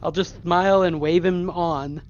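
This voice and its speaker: A man's voice: high voice, fairly even intonation, measured pace, muffled recording. A man talking casually as a player in a tabletop game. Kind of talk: casual chat.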